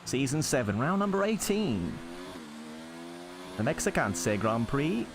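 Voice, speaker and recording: A young man commentating an online racing league in a relaxed, chatty, self-deprecating way. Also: medium pitch, measured pace, noisy background.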